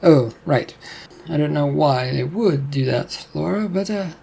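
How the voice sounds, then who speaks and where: Firm male demon hunter, read by the narrator, matter-of-fact, gravelly voice. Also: on the high side, measured pace, dark tone, exaggerated voice.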